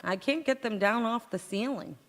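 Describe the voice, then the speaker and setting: A woman's voice: a little high, moderate pace, slight background noise, close to an everyday voice. A woman playing a frazzled, hapless housewife in old-time radio sitcom style, with dry comebacks and flustered wailing. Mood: frazzled deadpan. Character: frazzled, hapless housewife overwhelmed by a cooking disaster. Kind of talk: golden-age radio sitcom acting on stage. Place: large indoor hall, live stage performance. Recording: echoey hall, community theatre stage.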